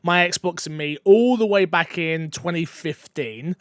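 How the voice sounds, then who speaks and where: A man hosting a casual gaming podcast, excitable and joking, laughing often. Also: a little high, measured pace, clean tone, no echo, clean studio sound. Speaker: podcast host.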